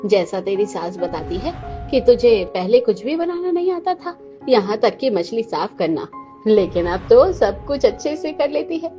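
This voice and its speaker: A woman's voice: a little high, sing-song, measured pace, dark tone. A woman voicing a gushing middle-aged aunt in a Hindi cartoon story. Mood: admiring. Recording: dull lo-fi sound under music.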